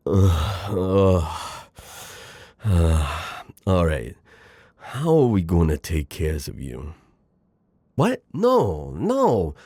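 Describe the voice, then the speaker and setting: A man's voice: low voice, huge pitch swings, extremely slow, long pauses, dark tone, slightly rough, no room sound, pitched below the performer's own voice, heavily acted. A man playing a chatty, exasperated mafia boss in an audio roleplay, courteous but foul-mouthed, with wisecracking gangster slang. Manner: wisecracking gangster slang, saying "yous" and "doll". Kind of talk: ASMR roleplay acting.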